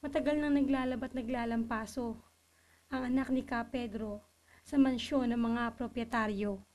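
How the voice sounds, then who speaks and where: A woman reciting literary poetry in a solemn manner with measured phrasing. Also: fairly high pitch, steady tone, a little mellow. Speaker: poetry reader.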